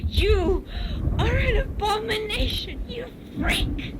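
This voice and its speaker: A woman's voice: very high voice, lively intonation, slow pace, heavy background noise, voice pushed up, full character voice. A woman voicing a frightened but defiant female swimmer in peril at sea, crying out in simple, emphatic words. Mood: hysterical, defiant.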